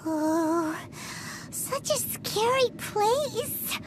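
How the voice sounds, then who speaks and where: Tiny fretful fairy sidekick: very high squeaky child voice, lively swoops, cute game-cartoon delivery over loud music. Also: female voice, very slow, heavily acted, poor audio. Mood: scared. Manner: cute, chatty, exclamatory. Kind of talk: fantasy video game voice acting.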